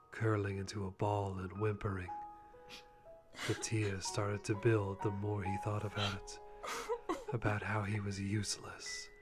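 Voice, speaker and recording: Slow mock-dramatic male reader. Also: fairly low pitch. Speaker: channel host reading a fanfic. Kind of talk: dramatic live read of fan fiction. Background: background music.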